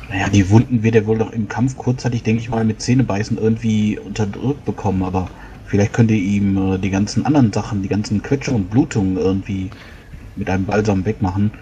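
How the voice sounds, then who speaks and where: Mellow, lowish-voiced man gaming. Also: steady tone, quick pace, a little hiss or hum. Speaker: tabletop role-playing player. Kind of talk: casual in-game discussion.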